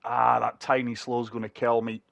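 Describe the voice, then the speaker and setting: A man talks viewers through each shot of a golf game in a casual, chatty way. He sounds short of breath from a chest infection, his middle-pitched voice close and dry on the mic. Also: monotone, a little fast, gravelly, no echo. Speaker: gaming channel host.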